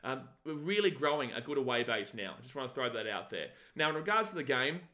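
Podcast co-host, man, bright forceful voice, passionate fan takes. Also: medium pitch, big pitch swings, fast. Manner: passionate, fired-up fan opinion. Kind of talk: casual sports podcast chat.

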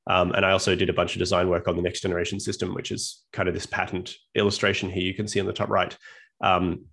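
Deep gravelly Australian man presenting. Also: monotone, fast, fairly bright tone. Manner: friendly, self-deprecating, thinks aloud. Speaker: guest speaker, physicist. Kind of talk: online presentation with slides. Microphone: video call.

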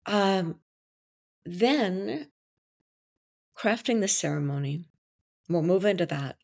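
Belgian-born woman with French as her first language, lower voice, confident and theatrical, a wedding officiant talking about her craft. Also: takes it slowly, creaky. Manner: expressive, theatrical delivery; confident, enthusiastic explaining. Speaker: wedding vow coach and officiant. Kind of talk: podcast interview talk.

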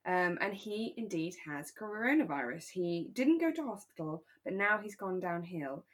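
Low-pitched woman, chatty podcast co-host. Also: lively intonation, normal speed. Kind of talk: relaxed chat discussing a radio drama.

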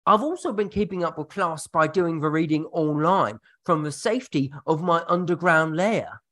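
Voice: mid-range voice, normal speed, a bit bright, natural voice. A performer voicing a cheeky, blunt young Dickensian street urchin with a Cockney British accent in a radio drama, full of slang. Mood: blunt, matter-of-fact.

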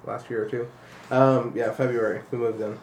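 A man's voice: medium pitch, little pitch movement, moderate pace, darkish tone. A man in his twenties chatting casually with friends, telling jokey, sweary anecdotes. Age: 20s. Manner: jokey storytelling with profanity. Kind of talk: casual group chat. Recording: roomy echo, some background noise.

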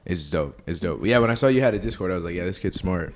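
Young male podcast host, lowish voice, slangy and hyped, light noise underneath. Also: lively intonation, quick pace. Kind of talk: casual chat.

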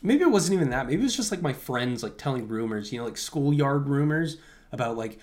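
A young adult man with a mid-pitched voice talks as a podcast host. His delivery is loose and conversational, wandering through his thoughts as he answers listener questions. He leans on "like" constantly, keeping it very informal. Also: expressive, a little fast, darkish tone. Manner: informal, conversational, heavy use of "like".

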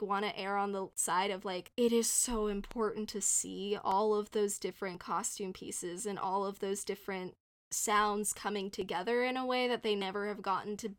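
A woman's voice: mid-range voice, smooth. A young woman in her twenties from North India, chatting casually about a film and often acting out quotes in a playful voice. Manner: animated, quick to agree, acts out quotes in a playful voice.

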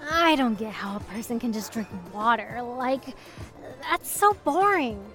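A woman's voice: on the high side, animated, measured pace, a bit bright, faint music behind. An actress playing a pushy, playful young party girl in an audio drama, with a brash, casual way of talking. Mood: teasing, exasperated. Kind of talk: audio drama character acting.

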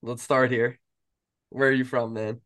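A man's voice: medium pitch, flat delivery, a little slow, a bit bright. A young American man hosting a casual podcast, hyping his guest in slangy talk. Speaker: podcast host.

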